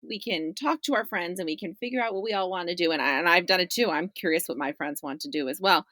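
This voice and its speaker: A woman's voice: on the low side, fast talker, bright, forceful tone, no room sound, studio quality. A middle-aged woman talking as a podcast guest in a chatty, emphatic way, often checking in with "right?". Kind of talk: conversational discussion of parents' views.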